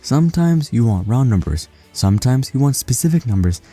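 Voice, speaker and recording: Dark-voiced man reading an explainer. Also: medium pitch, fairly quick, soft background music. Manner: even, read-out explaining. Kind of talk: scripted explainer narration.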